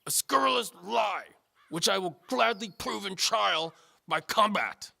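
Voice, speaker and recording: Loutish, boastful armored knight, pitched up. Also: male voice, animated, unhurried, vocal fry, bright, forceful tone, no room sound, clean studio sound, heavily acted. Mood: indignant, boastful. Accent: thick, put-on accent. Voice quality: resonant, hollow and muffled inside a helmet. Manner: thuggish, taunting, pompous. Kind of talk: audio drama character acting.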